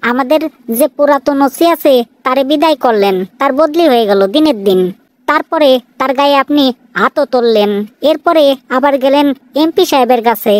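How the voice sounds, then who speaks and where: A woman plays a deferential cartoon policewoman with a Bangladeshi accent. Her voice is high and dryly recorded, chatty and inquisitive as she addresses her superior respectfully. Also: dry recording, close to an everyday voice. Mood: curious. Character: deferential, inquisitive single female police sub-inspector. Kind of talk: cartoon dialogue.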